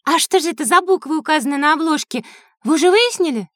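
A woman's voice: high-pitched, lively intonation, quick pace, fairly bright tone, dry recording, studio quality. A woman voicing a curious, thoughtful, polite little girl in a children's audio play.

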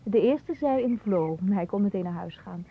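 A woman's voice: medium pitch, expressive, moderate pace, breathy edge, narrow, tinny audio. An actress playing a capricious, haughty young woman in a radio play, teasing and theatrical, with a dreamy storytelling way. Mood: dreamy, wistful. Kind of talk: Dutch radio play acting.

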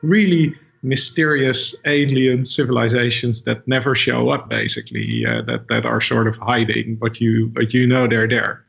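Gravelly Dutch man, halting speech. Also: medium pitch, fairly bright tone. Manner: hesitant, many fillers and restarts. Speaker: interview guest. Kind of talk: casual interview conversation. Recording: dull lo-fi audio.

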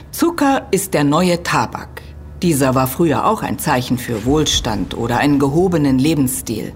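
An earnest female academic in an audio drama, with a very low voice and lively, swooping intonation. She lectures precisely and critically, stressing her points. A faint rumbling noise sits behind her. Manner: precise, didactic, emphatic. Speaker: expert being interviewed. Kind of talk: German audio drama dialogue, explanatory lecturing.

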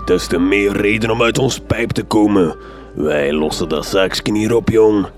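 An actor with a Flemish accent playing a gruff, foul-mouthed, blustering detective in a radio drama. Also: male voice, lowish voice, measured pace, music in the background, close to an everyday voice. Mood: gruff enthusiasm.

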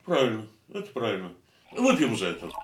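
A man's voice: medium pitch, big pitch swings, a little fast, background noise, close to an everyday voice. An actor in a radio drama playing a world-weary, brooding yet tender man, with theatrical intonation. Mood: tender, probing. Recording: roomy echoing radio studio, music underneath.

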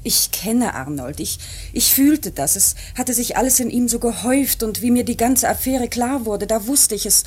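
An actress playing a grieving, sober adult daughter in a German radio play, speaking in a halting, earnest way. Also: female voice, a little high, lively intonation, a little mellow, husky. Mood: anguished. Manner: halting, earnest, repeats words.

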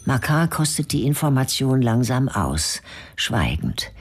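A woman narrating a radio drama in a measured, matter-of-fact way. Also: extremely low pitch, sing-song, husky. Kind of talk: scripted narration.

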